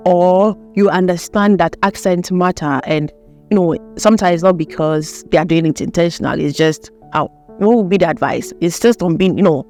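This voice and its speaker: A woman with a fairly low voice hosting a relaxed interview. She is lively, laughs easily and lets her questions wander before landing. Soft music plays faintly underneath. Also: normal speed. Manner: lively, laughs often, asks long rambling questions. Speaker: host. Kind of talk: casual interview chat.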